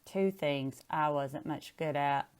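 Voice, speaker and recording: A woman's voice: deep voice, slow, a little mellow, clean tone. A woman chatting casually and reading a picture book aloud. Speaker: storybook reader.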